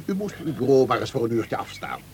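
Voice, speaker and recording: An actor playing a pompous, effusive upper-class official with a florid manner in a Dutch radio play. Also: male voice, medium pitch, very animated, fast talker, vocal fry, darkish tone. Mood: effusive, impressed. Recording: noisy old radio play recording.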